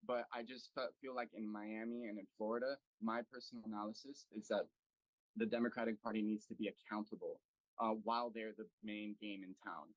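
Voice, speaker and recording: A man's voice: on the low side, dry recording, rough recording. A man from the United States speaking at an online political meeting as a local party chapter president, earnest and full of fillers and restarts. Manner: earnest, thinking aloud with many fillers and restarts. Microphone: video call.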